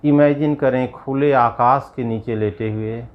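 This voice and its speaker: A man guiding a yoga nidra meditation as a yogi, giving measured instructions in short, spaced phrases. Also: mid-range voice, fairly even intonation, dull audio. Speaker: yogi leading guided meditation.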